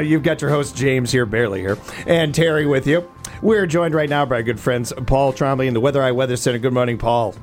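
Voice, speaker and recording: A man hosts a morning radio show from the studio, his voice a little hoarse. He talks in an easygoing, chatty way and laughs easily. His pitch sits in the middle. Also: fairly quick, soft background music. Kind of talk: casual morning radio banter.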